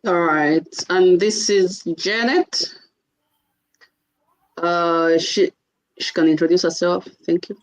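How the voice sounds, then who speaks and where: A woman's voice: fairly low pitch, fairly even intonation, slow pace, long pauses, no room sound, rough recording. A woman hosting an online panel on a video call, upbeat and welcoming as she introduces the speakers. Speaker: host and mentor.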